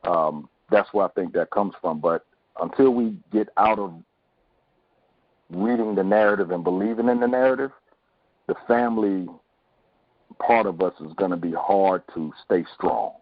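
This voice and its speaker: Man, reflective panel guest, raspy voice, narrow phone-like audio, conversational. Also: sits low, normal speed, long pauses, no room sound. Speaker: podcast guest. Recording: tinny phone-like sound, very dry.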